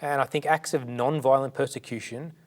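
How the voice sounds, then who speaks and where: A middle-aged man with an Australian accent answers interview questions. His voice sits in a middle pitch, and he speaks earnestly and fluently, now and then restarting a phrase. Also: steady tone, brisk. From born in India, raised in Sydney. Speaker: charity director, guest.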